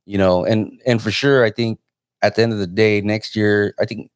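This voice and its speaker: A man in his forties from San Jose, California, hosting a live phone interview in a relaxed, meandering way. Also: fairly low pitch, normal speed, clean tone, no room sound, clean studio sound. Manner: laid-back, meandering. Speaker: host.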